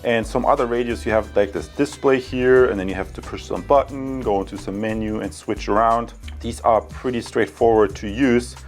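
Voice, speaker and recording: A man's voice: medium pitch, fairly even intonation, normal speed, few pauses, soft background music. A man from Germany with a German accent, presenting a casual product review in an informal, chatty way. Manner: informal, chatty, addresses viewers as guys. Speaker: product reviewer and channel host.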